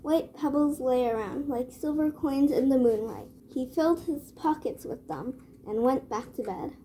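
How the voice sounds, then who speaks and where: A woman storyteller narrating a children's read-aloud tale with measured phrasing. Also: high voice, expressive, mellow, a little hiss or hum, low-quality recording.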